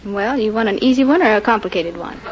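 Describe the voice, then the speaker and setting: A woman's voice: medium pitch, lively intonation, fast talker. A woman, a contestant on an old radio quiz show, explaining and demonstrating as a caller. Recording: muffled, noisy old-time radio recording.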